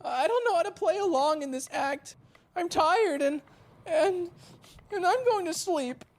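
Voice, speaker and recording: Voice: very high voice, lively intonation, measured pace, exaggerated voice. An actor playing an anxious, tired new recruit in an audio drama, hesitant and pleading in casual modern speech. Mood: weary, pleading. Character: anxious, exhausted young new actor posing as sailor.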